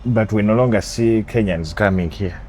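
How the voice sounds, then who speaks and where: Lower-voiced male interview host. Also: normal speed, a little hiss or hum. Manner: lively host intro, otherwise brief affirmations. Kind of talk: casual interview hosting.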